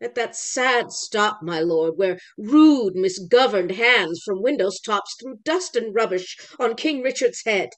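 A woman's voice: medium pitch, expressive, unhurried, few pauses, fairly bright tone. A woman playing an anxious duchess, eagerly asking for news in verse on a video call. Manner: eager questioning. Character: anxious duchess, older noblewoman. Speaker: actor in a staged reading. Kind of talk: Shakespeare verse reading. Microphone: webcam on a video call.

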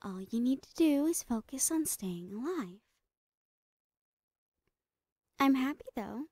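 A woman playing a smiling psychotic doctor in a horror ASMR roleplay, breathy and often whispering, soothing and falsely reassuring with drawn-out words. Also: high voice, animated, a little mellow, dry recording, studio quality. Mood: falsely sweet. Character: smiling psychotic woman doctor, sweetly menacing mad scientist. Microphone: close microphone, dry.

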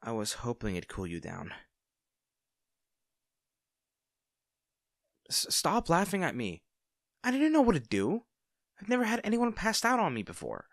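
A man's voice: on the high side, huge pitch swings, fairly quick, gravelly, a bit bright, no echo, studio quality, heavily acted. A man playing a friendly, caring young barista in an intimate audio roleplay, talking in a casual, hesitant and attentive way. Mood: flustered. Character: friendly, caring young male barista, teasing and easily flustered.

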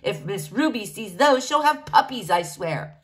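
A woman's voice: medium pitch, big pitch swings, unhurried. A woman voicing a fussy, snobbish king who scolds his daughter. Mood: fretful. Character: fussy, snobbish middle-aged male king.

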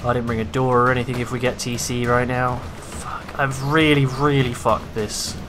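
A man giving casual gameplay commentary, his voice a little raspy from hours of talking, excitable and swearing freely. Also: mid-range voice, normal speed, music in the background. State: slightly raspy from long talking. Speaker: gaming YouTuber.

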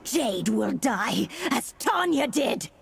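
High raspy fighting game villainess, feral mutant out for revenge, swoops around, loud game noise behind. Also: female voice, very animated, slow, heavily acted. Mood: cold menace. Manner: menacing, theatrical. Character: vengeful, feral female mutant warrior with monstrous fangs. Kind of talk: fighting game character dialogue. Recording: game audio with loud background effects.

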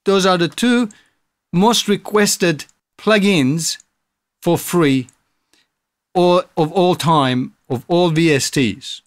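A man in his thirties with a fairly high voice talks through a tutorial in a casual, explanatory way. The sound is dry and close, as in a small room. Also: slow, long pauses, dry recording. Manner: explanatory, step-by-step. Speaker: tutorial presenter.

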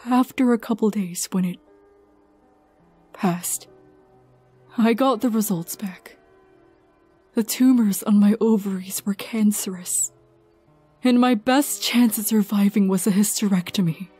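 A woman voicing a grief-worn, sardonic scientist in a fan audio drama, halting, wry and guarded. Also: mid-range voice, mellow, slightly rough, soft background music. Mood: pained confession.

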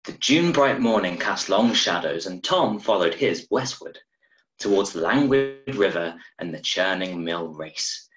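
A man in his thirties reading a short story aloud in a measured, dramatic way, recorded at home over a video call. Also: low voice, expressive, a bit bright, dull audio, soft background music. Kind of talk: expressive audiobook-style story narration. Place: small room at home, indoors. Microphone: video call from a desk, wearing earphones. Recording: roomy, echoing home webcam recording.

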